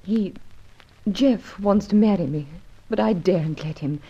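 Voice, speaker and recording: Anxious, husky-voiced woman. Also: medium pitch, a little slow, warm tone. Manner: hesitant, pleading. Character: anxious, grateful female blackmail victim, vulnerable. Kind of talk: old radio drama acting. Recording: muffled vintage radio recording.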